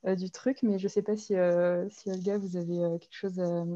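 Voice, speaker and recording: A woman's voice: fairly low pitch, level tone, moderate pace, mellow, muffled recording. A woman, a guest artist, answering press questions on a video call, hesitant and full of fillers and restarts. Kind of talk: online press conference answers. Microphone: video call mic.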